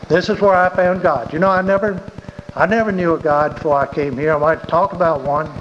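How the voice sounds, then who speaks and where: A man telling his recovery story at a meeting in a plain, halting way, often repeating words. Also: a little high, a little hiss or hum. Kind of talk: personal recovery testimony. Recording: dull recording with long hall reverb.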